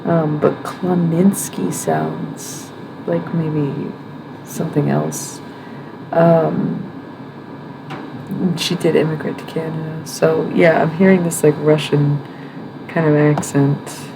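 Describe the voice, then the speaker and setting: Low-voiced woman musing on a livestream. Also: fairly even intonation, slow, gravelly, background noise. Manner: rambling, thinking aloud with many ums and self-corrections. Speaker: livestream host.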